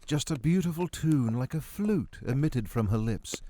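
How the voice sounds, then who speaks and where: A man's voice: mid-range voice, lively intonation, moderate pace, mellow, slight background noise. A man narrating a dramatic first-person story as a miserly, befuddled middle-aged doctor, in a theatrical, literary delivery. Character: miserly, befuddled 45-year-old male doctor recounting his own tale.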